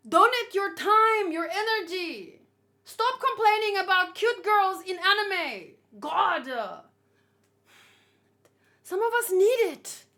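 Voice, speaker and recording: A woman's voice: extremely high pitch, expressive, slow pace, sharp tone. A woman giving informal, opinionated commentary as she hosts a casual live read-along and talks with chat. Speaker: manga read-along host.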